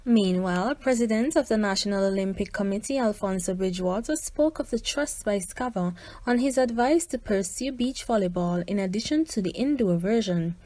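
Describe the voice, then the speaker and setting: A woman reads scripted news. Her voice sits at an average pitch, recorded dry with hardly any room around it. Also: normal speed, few pauses, a little mellow, dry recording. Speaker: news reporter.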